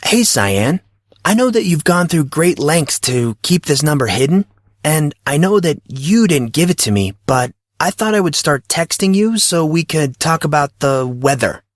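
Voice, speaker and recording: A man's voice: a little high, big pitch swings, moderate pace, no room sound, studio quality, pitched above the performer's own voice. Man as fourteen-year-old boy, skeptical, sarcastic, questioning, audio drama, very little room sound. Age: teenager. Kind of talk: audio drama character reading.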